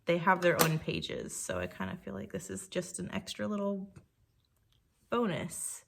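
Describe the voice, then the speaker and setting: A woman with a slightly croaky voice, pitched a little low for a woman. She chats casually and thinks aloud as she works through a crafting project. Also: very animated, a little slow, a little hiss or hum. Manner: chatty, thinking aloud while working. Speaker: scrapbooking creator.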